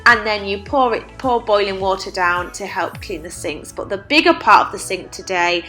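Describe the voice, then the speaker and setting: A woman's voice: medium pitch, expressive, normal speed, bright, forceful tone, soft background music. A young woman with a stuffy, congested voice from a cold, chatting casually in a voice-over. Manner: chatty, with frequent "like" fillers. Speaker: cleaning vlogger.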